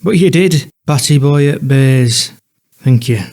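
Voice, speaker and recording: Erratic, desperate addict, acted by a man, slow delivery. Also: medium pitch, darkish tone. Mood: bitter, unhinged. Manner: erratic, shrieking at times. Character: erratic, desperate male drug addict in a hostel.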